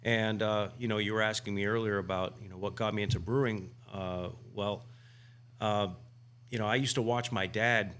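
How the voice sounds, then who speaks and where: Low-voiced man chatting casually. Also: steady tone, normal speed, fairly bright tone. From United States. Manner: rambling, many restarts and fillers. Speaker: guest homebrewer. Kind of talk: casual podcast conversation.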